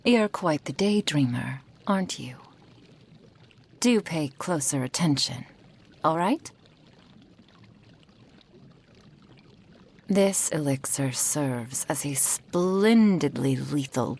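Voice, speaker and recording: A woman playing a possessive, doting kitsune witch in an intimate ASMR roleplay, breathy and often whispering, with a silky, formal manner. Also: sits low, expressive, slow, a little mellow, full character voice. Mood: silky, instructive.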